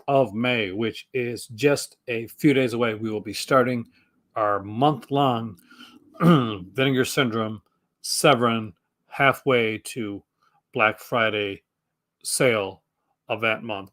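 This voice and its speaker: Male young adult host, mid-pitched, chatty and rambling, hoarse from a long session. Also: a bit bright. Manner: rambling, enthusiastic, many fillers and restarts. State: losing his voice. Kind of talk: casual live stream chat.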